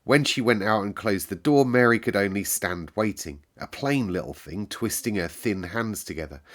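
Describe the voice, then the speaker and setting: A man reading a classic novel aloud in calm, measured narration. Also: lowish voice. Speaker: storyteller.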